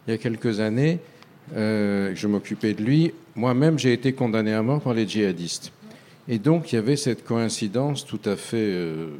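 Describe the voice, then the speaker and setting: An older man from France, a writer answering interview questions in a halting, reflective way with frequent hesitations. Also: fairly low pitch, mellow. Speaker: author guest. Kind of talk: radio interview.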